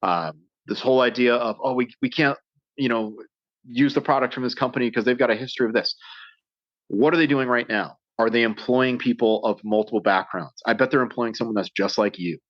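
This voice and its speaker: A man's voice: mid-range voice, fairly quick, no room sound. An American man speaking as a podcast guest, telling his story in a passionate, emphatic way. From United States. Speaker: guest, survival instructor. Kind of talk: casual interview talk. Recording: dull lo-fi sound.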